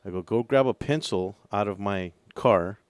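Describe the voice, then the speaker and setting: Man, radio co-host, repeats words, casual chat, lower voice, dry studio mic. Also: normal speed, clean tone, no room sound. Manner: stammering anecdote with laughs and repeated words.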